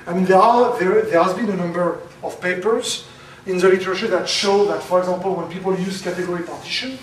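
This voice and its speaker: A man giving a conference talk, loud and halting, with many fillers. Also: high voice, steady tone, slight background noise. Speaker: conference speaker. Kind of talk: academic presentation. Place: lecture room. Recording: very echoey, from far off.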